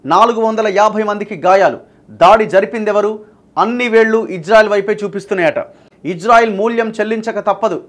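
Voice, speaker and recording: Male news presenter, Telangana accent, high register, emphatic explanatory delivery. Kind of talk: news reading with explanatory commentary.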